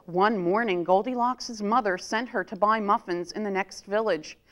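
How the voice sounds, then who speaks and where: A woman reading a children's story aloud as a storytime reader, in an expressive, deliberate manner. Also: medium pitch. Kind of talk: expressive picture-book read-aloud for children.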